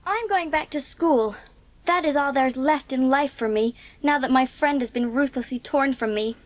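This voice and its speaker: Woman playing very high-voiced, earnest young girl. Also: normal speed, lightly acted. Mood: mournful, resolute. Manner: grandiloquent, precise, theatrical. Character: earnest, dramatic, talkative eleven-year-old orphan girl. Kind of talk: dramatised audiobook acting.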